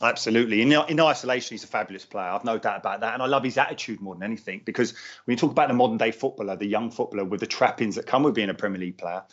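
A man's voice: lowish voice, racing, dull audio. A middle-aged man from London, a former footballer, giving earnest, firm radio interview answers with frequent restarts.

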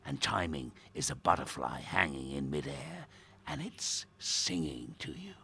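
A man playing a grandiose, theatrical radio host in a dark audio drama. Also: a bass voice, wildly swooping pitch, unhurried, gravelly, a little hiss or hum, heavily acted. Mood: pompous musing. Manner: pompous, cryptic, showmanlike. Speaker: radio presenter character.

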